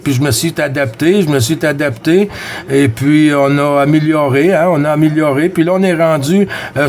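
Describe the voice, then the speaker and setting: A man with a Quebec French accent chatting casually as a CB radio operator, friendly, with halting phrasing and repeated words. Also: a little high. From Quebec, Canada. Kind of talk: casual radio chat with callsigns.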